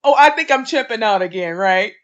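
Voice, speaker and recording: A woman exclaiming loudly in a short played clip, laughing between lines. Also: a little high, lively intonation, fairly quick, muffled recording.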